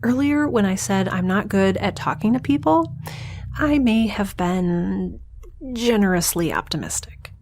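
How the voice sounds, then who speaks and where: A woman's voice: mid-range voice, expressive, measured pace, a little mellow, a little hiss or hum. A woman performing a wry, rambling monologue in a scripted audio drama. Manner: wry, self-deprecating and rambling. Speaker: narrator and lead of an audio drama.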